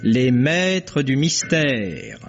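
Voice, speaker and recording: A man announcing credits in 1950s French radio style, formal, deliberate and dramatic, on a dull vintage recording. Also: mid-range voice, wildly swooping pitch. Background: loud enough music to hear clearly.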